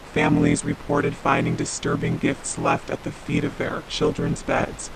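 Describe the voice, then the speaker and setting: Man acting as gravelly, extremely deep alert announcer. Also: noisy background, exaggerated voice. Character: solemn male announcer of an ominous police notice. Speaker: emergency broadcast announcer. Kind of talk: scripted emergency alert narration.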